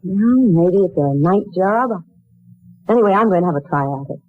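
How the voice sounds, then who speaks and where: A woman's voice: on the low side, animated, normal speed, dry recording. An actress playing a proud, polite young woman looking for work, in a dramatic 1940s radio style. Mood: eager. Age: young adult. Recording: muffled old 1940s radio recording.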